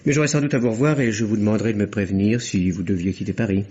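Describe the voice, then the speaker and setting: A police inspector in a French radio mystery, speaks low through a dull, muffled recording. He questions politely and tactfully, with a husky edge. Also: fairly even intonation, mellow. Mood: courteous, businesslike. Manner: polite, measured questioning with hesitant, tactful probes. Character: probing, courteous male police inspector questioning a suspect.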